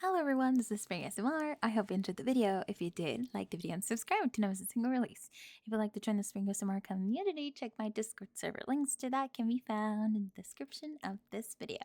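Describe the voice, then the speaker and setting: A woman speaking as the host of an ASMR channel, reading a scripted sign-off and a list of shout-outs. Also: on the high side, lively intonation, quick pace, no echo.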